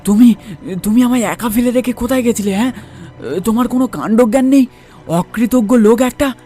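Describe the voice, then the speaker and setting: Man acting a high-pitched, agitated gentleman passenger. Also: a little fast. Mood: indignant. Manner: agitated, stammering repeated questions. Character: frightened, indignant gentleman passenger, demanding answers. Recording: music under the voice.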